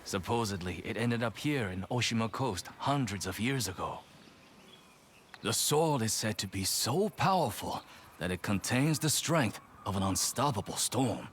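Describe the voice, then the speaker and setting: A man playing a wandering storyteller in a video game, talking in a measured, theatrical, tale-telling way. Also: on the low side, animated, a little hiss or hum, natural voice. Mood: intrigued, explanatory. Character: wandering male storyteller seeking a legendary sword.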